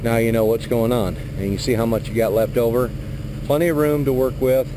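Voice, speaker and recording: A middle-aged man talks in a relaxed, plain-spoken way as he walks around his truck outdoors. His voice sits at an ordinary pitch for a man. A handheld action camera picks it up with clear wind and yard noise behind him. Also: a little fast. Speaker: truck driver. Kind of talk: casual vlog walkthrough. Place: outdoor industrial yard beside a flatbed trailer. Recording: noisy outdoor camera audio.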